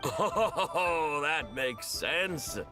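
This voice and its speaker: A man's voice: a little high, expressive, very slow. A man voicing a chatty, self-satisfied townsman in a video game, with theatrical emphasis. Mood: smug advice. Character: chatty, self-satisfied townsman who fancies himself wiser. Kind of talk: video game character acting. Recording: game music behind.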